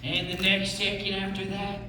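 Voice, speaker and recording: A man playing a boastful, excitable Old West teller of tall tales, emphatic and folksy, on stage. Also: sits high, moderate pace, close to an everyday voice. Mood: excited boasting. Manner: emphatic, folksy, dramatic storytelling. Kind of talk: stage readers theatre performance. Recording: very echoey hall, far mic, noisy.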